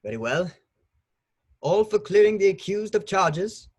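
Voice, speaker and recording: Pompous wizarding minister played by a man, blustery, scoffing, highish and very animated, table-read courtroom drama. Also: unhurried, heavily acted. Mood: grudging. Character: blustering, pompous, dismissive government minister presiding at trial. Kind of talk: amateur online table read of a screenplay.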